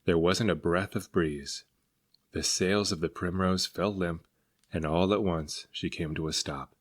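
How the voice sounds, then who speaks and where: A man reading a children's story aloud as narrator, in an expressive manner. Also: deep voice, a little slow. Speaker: storybook reader.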